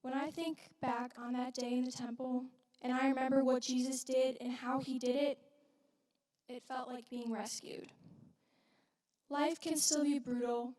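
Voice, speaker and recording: Woman performing wounded young biblical widow, high voice, earnest church monologue, weighted pauses, distant in an echoey hall. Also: flat delivery, darkish tone. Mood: reverent, hopeful. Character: widowed young Galilean mother, wounded, reverent witness of Jesus.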